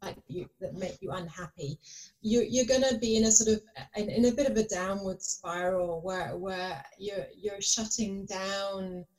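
Woman chatting on a podcast, mellow voice, lots of um and like. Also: medium pitch, steady tone, moderate pace, low-quality recording. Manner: rambling, many fillers and restarts. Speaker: podcast guest. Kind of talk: casual conversation.

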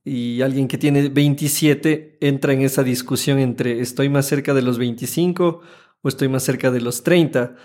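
A man's voice: medium pitch, fairly even intonation, moderate pace, darkish tone. A man in his thirties with an Ecuadorian Spanish accent, co-hosting a casual, conversational podcast. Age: 30s. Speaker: podcast co-host.

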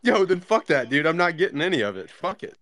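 A man's voice: on the high side, very animated, fast, fairly bright tone, no room sound. Slangy guy with a dry, close sound. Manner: slangy, profane, repeats phrases. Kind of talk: casual group chat.

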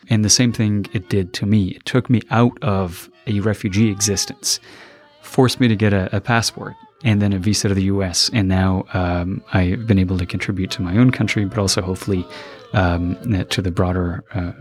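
A young man from Afghanistan thoughtfully telling stories about his college years, pausing to search for words and growing animated when he retells a scene. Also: lowish voice. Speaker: interviewee, college alumnus. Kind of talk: reflective personal storytelling.

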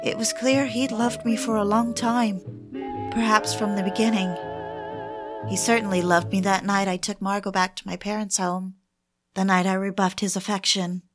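A woman's voice: mid-range voice, flat delivery, moderate pace, music in the background, lightly acted. An actress playing a romantic, yearning woman in love, intimate and reflective, in a confessional audio drama. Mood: wistful, reflective. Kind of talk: audio drama, confessional first-person narration.

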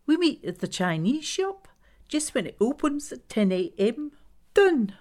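Woman acting a bossy, high-pitched, emphatic neighbour lady. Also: big pitch swings, measured pace, darkish tone, heavily acted. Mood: bossy, insistent. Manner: emphatic, exclamatory, pushy. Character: brash, bossy, easily scandalized woman neighbour.

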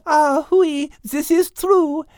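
A man voicing a thoughtful, courteous little female cat in a storybook, with a French accent and gently precise speech. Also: extremely high pitch, fairly even intonation, takes it slowly, dry recording, clean studio sound, voice pushed up. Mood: friendly.

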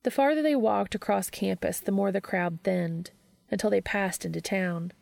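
A woman's voice: medium pitch, moderate pace, creaky, a little mellow, dry recording. A woman narrating a fiction audiobook, reading scripted prose.

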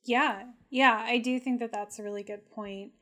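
Woman podcast co-host, fairly high, relaxed and reflective, filler-heavy. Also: normal speed. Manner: thoughtful, many "like" fillers. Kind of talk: casual analytical chat.

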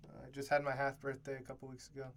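A man in his twenties co-hosting a casual podcast from a college dorm room, easygoing and quick to laugh, with many fillers. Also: medium pitch, monotone, a bit bright. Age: 20s. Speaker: podcast co-host. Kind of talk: casual chat.

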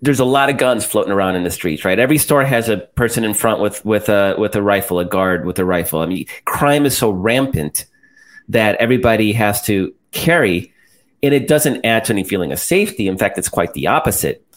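A man's voice: lowish voice, lively intonation, brisk. A man from El Salvador hosting a political interview, talking bluntly and pointedly with some mild profanity. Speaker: host.

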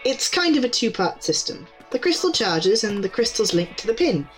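A woman's voice: fairly high pitch, big pitch swings, moderate pace, soft background music. A woman playing an easygoing, helpful young clerk of a magic shop in an audio drama, talking casually. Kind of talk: naturalistic audio drama dialogue.

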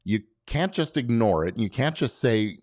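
A man's voice: mid-range voice, lively intonation, unhurried, a little mellow, dry recording, studio quality. An American man hosting an explanatory solo podcast, giving professional advice in an emphatic, conversational way with rhetorical questions.

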